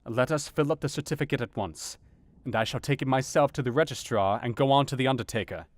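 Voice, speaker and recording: An actor playing a grave, conscientious doctor, narrating his own account in a measured, formal, sombre way in an audio drama. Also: mid-range voice, dry recording, natural voice. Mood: practical, urgent. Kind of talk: dramatized first-person narration.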